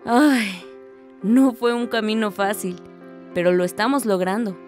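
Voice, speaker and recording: A woman's voice: medium pitch, measured pace, darkish tone, faint music behind, close to an everyday voice. An actress playing a reflective young village woman narrating her story in a radio drama. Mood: storytelling.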